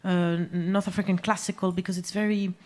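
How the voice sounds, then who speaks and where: A woman, a musician guest talking about her music in a live on-stage interview, pausing often to search for words. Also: fairly low pitch, level tone, a little mellow. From Algeria, raised in France. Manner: hesitant, searching for words, many fillers. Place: small music venue stage.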